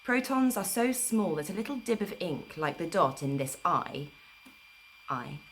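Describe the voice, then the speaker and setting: A woman reading a book aloud in a measured, deliberate storyteller's delivery on an amateur livestream recording. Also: lowish voice, a bit bright, a little hiss or hum. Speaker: storyteller reading an audiobook live. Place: informal livestream, not a studio.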